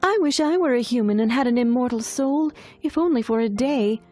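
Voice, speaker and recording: Young mermaid princess, voiced by a female narrator: high, tremulous, earnest, timid yet determined, storybook style. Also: a little fast, mellow, faint music behind, natural voice. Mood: yearning.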